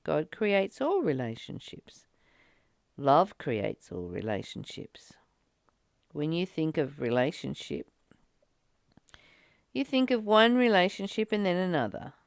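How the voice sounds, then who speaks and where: A woman with a creaky, gravelly edge to a clear, resonant voice talks through spiritual ideas in a reflective way. Her delivery is earnest and halting, and her pitch moves around in a lively way. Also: no echo, studio quality. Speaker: study group reader and discussion partner. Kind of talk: reflective spiritual discussion with passages read aloud.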